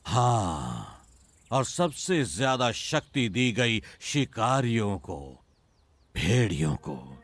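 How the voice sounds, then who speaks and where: A man's voice: lowish voice, very animated, no room sound, heavily acted. A man voicing a wise, kindly uncle panda who tells tales to children in a dubbed cartoon. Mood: storytelling, grave. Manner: unhurried, storytelling to children.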